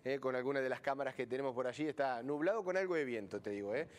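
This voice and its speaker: A man with an Argentine Spanish accent hosting a broadcast news interview, chatty and quick to fill pauses with short agreeing sounds. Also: mid-range voice. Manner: chatty, many "ujú" backchannels. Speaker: news host.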